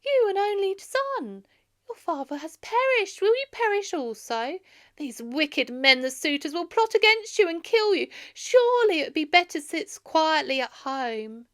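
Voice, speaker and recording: A woman's voice: extremely high pitch, sing-song, moderate pace, pitched above the performer's own voice. A woman voicing a frantic old nurse who cries out and implores in a storybook reading. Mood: alarmed pleading. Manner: crying out, imploring.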